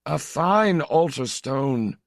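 A man's voice: fairly high pitch, lively intonation, slow pace, creaky, no echo, clean studio sound, voice pushed up, heavily acted. A man doing a timid old village priest. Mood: pleased. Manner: hesitant, stammering.

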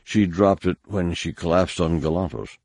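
A man voicing a young male pilot who answers quietly and apologetically. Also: deep voice, moderate pace, no echo. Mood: apologetic.

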